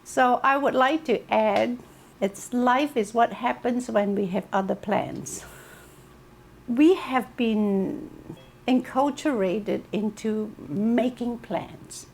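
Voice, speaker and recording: Slow, reflective Malaysian-raised woman speaker. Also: a little high, gravelly, a little hiss or hum. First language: Chinese. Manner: reflective storytelling, lively emphasis on key words. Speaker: wellness speaker. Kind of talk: informal talk on a video call. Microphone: video call.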